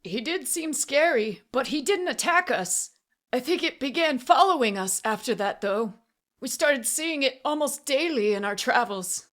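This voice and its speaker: A woman voicing a short, frightened, tearful man who pleads in halting gasps, in an audiobook. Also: on the high side, lively intonation, pitched above the performer's own voice. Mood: pleading. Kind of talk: audiobook character voice.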